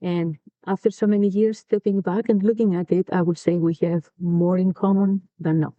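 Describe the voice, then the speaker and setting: A middle-aged woman from Greece with a Greek accent, talking earnestly and thoughtfully in a casual podcast chat, often pausing with "um.". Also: fairly low pitch, steady tone, no echo, phone-quality sound. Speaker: co-host. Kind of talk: casual conversation.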